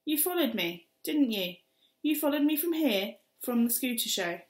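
A woman's voice: sits high, lively intonation, normal speed, voice pushed up. A woman voicing a shifty, wary adult male stranger in an audiobook, evasive and defensive. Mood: suspicious. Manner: evasive, defensive, streetwise slang. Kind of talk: audiobook character reading. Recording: roomy echo.

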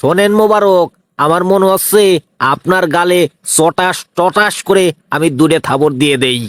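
A man's voice: sits high, moderate pace, a bit bright, dry recording, soft background music. A man voicing a brash, bullying cartoon police inspector, blunt and threatening, with a Bangladeshi regional Bengali accent. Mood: menacing. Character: brash, bullying male police inspector, tough and self-righteous. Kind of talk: comic cartoon dialogue.

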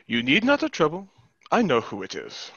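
A young actor playing a blunt, protective, sceptical brother in a play reading over a headset. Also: male voice, on the high side, huge pitch swings, moderate pace, creaky, a bit bright, no echo, heavily acted. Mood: suspicious. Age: young adult. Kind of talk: stage play reading over video call. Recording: dull video-call headset audio.

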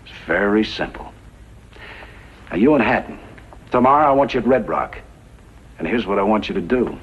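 An actor playing a bossy, calculating gunrunner gang boss in a 1950s radio western. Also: male voice, on the low side, lively intonation, moderate pace, slight background noise, natural voice. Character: scheming, commanding male gunrunner gang boss. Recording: dull 1950s radio recording.

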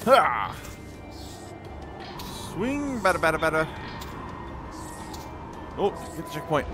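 Male gamer, exclamatory live commentary, very lively pitch swings, unhurried overall pace. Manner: exclamatory, short self-directed bursts. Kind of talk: excited live gameplay commentary. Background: game music.

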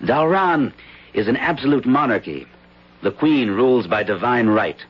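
A man's voice: mid-range voice, animated, moderate pace, husky. An actor playing a stern, matter-of-fact male intelligence agent in a 1970s radio drama. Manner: curt, cool, matter-of-fact. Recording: muffled old radio broadcast.

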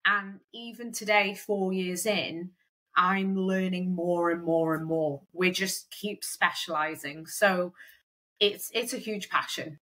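A woman's voice: fairly low pitch, fairly even intonation, takes it slowly, bright, forceful tone. A woman, a recruitment company founder, chatting as a guest in an interview, with a lot of "kind of" and "um". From Wales. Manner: chatty, lots of fillers like "kind of" and "um". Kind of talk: conversational interview.

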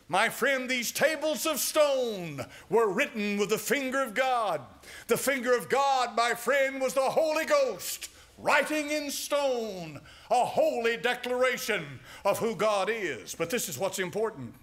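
High, bright-voiced fervent older preacher. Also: male voice. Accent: American. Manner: fervent, rising to shouts. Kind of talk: sermon. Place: church sanctuary. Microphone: pulpit mic.

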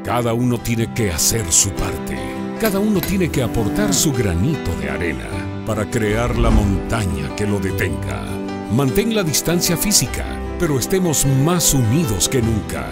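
Gravelly man rousing over loud music. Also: medium pitch, sing-song, moderate pace. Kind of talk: public service announcement.

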